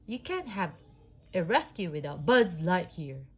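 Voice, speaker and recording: A woman's voice: lowish voice, sing-song, a little slow, slightly rough, heavily acted. A woman voicing a confident male space-hero toy from a storybook in a commanding, emphatic way. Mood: heroic, cheerful.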